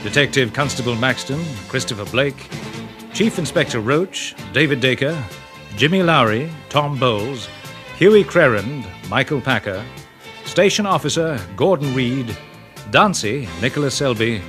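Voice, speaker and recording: A man's voice: medium pitch, animated, measured pace, a bit bright. A man working as a radio announcer, reading a scripted cast list. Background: music.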